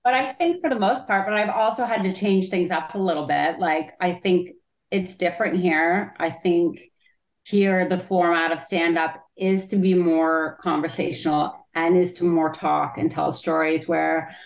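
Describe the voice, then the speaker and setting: A woman from Canada with a Canadian accent, a stand-up comedian chatting casually in an interview, with a loud voice and lots of filler words. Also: fairly low pitch, fairly even intonation, normal speed, echoey room, poor audio. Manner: chatty, many "like" fillers, trails off.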